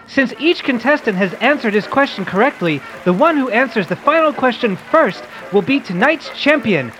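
An adult playing an excitable, wholesome boy who hosts a radio quiz, read in the manner of an old comic-book radio play. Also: mid-range voice, moderate pace, soft background music, natural voice, poor audio. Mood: showman-like.

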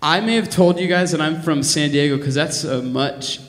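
A man's voice: a little high, moderate pace, slight background noise. A young man from Southern California giving a casual testimony to a student gathering, full of fillers. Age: young adult. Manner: casual, with filler words and light laughs. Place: large worship hall. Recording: echoey hall with long reverb.

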